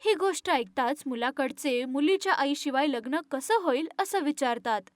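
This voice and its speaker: A woman narrating an animated moral story in a scripted storytelling style. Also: high voice, normal speed, no room sound, studio quality.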